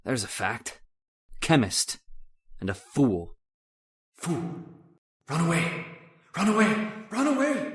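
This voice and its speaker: A man's voice: a little high, huge pitch swings, slow pace, pauses often, breathy edge, full character voice. A man playing a haunted, domineering chemist in radio horror, with broken, repetitive, brooding speech. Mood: haunted, despairing. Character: haunted, obsessive male chemist, domineering and bitter. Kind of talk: old-time radio horror acting.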